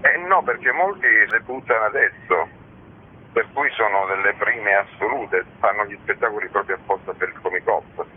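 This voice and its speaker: A man's voice: fairly low pitch, normal speed, a little hiss or hum. A man giving a conversational radio interview as a festival organiser, talking in a discursive, frank, matter-of-fact way. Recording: muffled, lo-fi radio interview audio.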